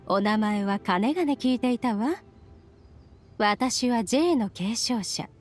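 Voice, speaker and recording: A woman's voice: a little high, lively intonation, slow, faint music behind. A woman playing a composed, sly young female visitor in an anime game, teasing and assured. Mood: formal, poised. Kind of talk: Japanese anime game acting.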